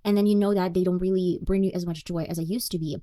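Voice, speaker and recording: A woman's voice: lowish voice, steady tone, quick pace, warm tone. A young woman with a Russian accent, her native language being Russian, hosting her own podcast and speaking candidly and reflectively in a self-deprecating way. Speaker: podcast host. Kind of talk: solo podcast monologue.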